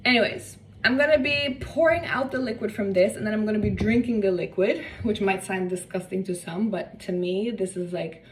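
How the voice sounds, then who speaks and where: A woman's voice: medium pitch, moderate pace, hardly any pauses. A young woman from Norway, chatting casually and rambling off on tangents while she cooks in her home kitchen. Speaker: cooking vlogger. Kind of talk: casual cooking vlog chat. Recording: slightly distant mic with faint room noise.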